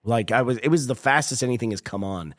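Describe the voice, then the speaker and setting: A man with a hoarse, sick voice co-hosting a casual podcast, rambling and chuckling at himself. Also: medium pitch, quick pace, no room sound. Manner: rambling, chuckling, self-deprecating. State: hoarse from a cold. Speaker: podcast co-host. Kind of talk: casual chat.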